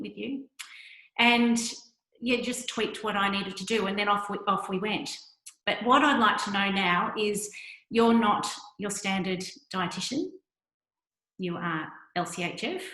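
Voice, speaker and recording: A woman's voice: medium pitch, bright, forceful tone, reverberant, low-quality recording. A woman hosting a podcast, asking a guest questions in a chatty way with restarts. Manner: chatty, restarts mid-phrase. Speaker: podcast host. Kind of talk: interview questions.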